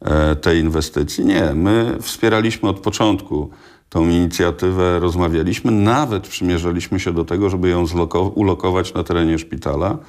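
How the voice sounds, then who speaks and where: A man in his sixties, a hospital director speaking as a guest in a studio interview, measured and hesitant with many filler sounds. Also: low-pitched, a little mellow. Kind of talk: radio studio interview answers. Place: small TV studio.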